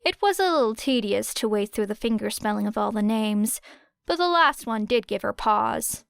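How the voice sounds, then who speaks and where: A woman's voice: a little high, lively intonation, normal speed, creaky, smooth, no echo, clean studio sound. A woman reading fiction aloud as an audiobook narrator. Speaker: audiobook reader.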